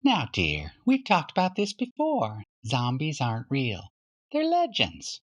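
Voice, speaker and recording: A proper, patronizing storybook mom, performed by a man. She talks down gently, with pitch that swings widely from high to low. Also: huge pitch swings, measured pace. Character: Johnny's dismissive, prim mother, talking down gently. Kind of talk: children's storybook reading.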